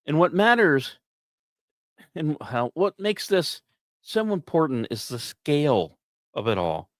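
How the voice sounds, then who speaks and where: A man's voice: on the high side, very animated, takes it slowly, no echo, studio quality. A man hosting a science podcast, delivering semi-scripted commentary with wry asides and the odd stumbled, repeated word.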